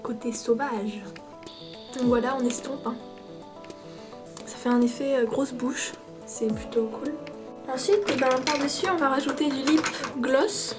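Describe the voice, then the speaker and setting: A woman with a cold chats through a makeup tutorial. Her voice sits a little high, and she pauses constantly with fillers while keeping a mock-serious, playful tone. Music plays clearly in the background. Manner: hesitant, many fillers, playful parody tone. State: stuffy with a cold. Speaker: makeup vlogger.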